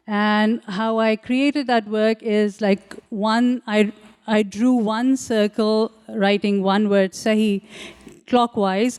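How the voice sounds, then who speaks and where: A woman artist whose first language is Urdu, speaking reflectively on a panel in a large auditorium, pausing often with fillers and restarting sentences. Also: mid-range voice, a little mellow, smooth. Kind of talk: panel talk about her art.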